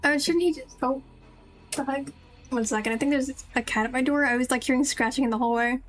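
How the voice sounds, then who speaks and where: Female game streamer: high-pitched, casual, thinking aloud tentatively over background music. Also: fairly quick. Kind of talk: casual live game commentary.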